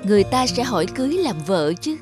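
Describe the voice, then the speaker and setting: A woman's voice: fairly high pitch, sing-song, fast, over music. A woman voicing a courteous, humble young prince in a cartoon fairy tale, speaking formally and deferentially. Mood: tender.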